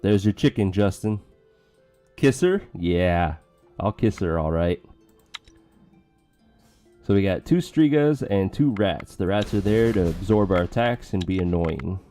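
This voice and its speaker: Man, low dark voice, hoarse, casual game commentary, joking asides, soft music behind. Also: animated, measured pace, long pauses. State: voice going hoarse. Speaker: let's play commentator.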